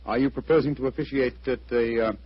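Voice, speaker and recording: An actor playing a keen, self-assured British gentleman detective, brisk and precise, in old-time radio style. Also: medium pitch, fairly even intonation, breathy edge, natural voice. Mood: probing. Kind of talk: 1940s radio acting. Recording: muffled old radio recording.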